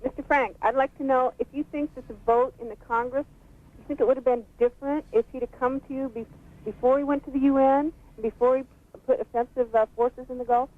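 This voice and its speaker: High-voiced woman on tinny phone line. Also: steady tone, brisk. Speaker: radio phone-in caller. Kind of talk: hesitant caller question.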